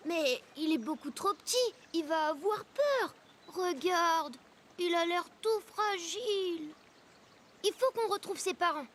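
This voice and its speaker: A woman's voice: extremely high pitch, expressive, slow pace, a bit bright, slight background noise, full character voice. A woman voicing a cautious, caring young child in a cartoon, speaking in an earnest, hesitant way. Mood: concerned. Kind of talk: cartoon voice acting. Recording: music behind.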